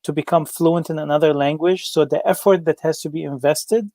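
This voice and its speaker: A man hosting an interview, asking questions haltingly with many fillers, Arabic his first language. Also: fairly high pitch, steady tone, dark tone, no room sound, clean studio sound. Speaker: interview host. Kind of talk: casual interview.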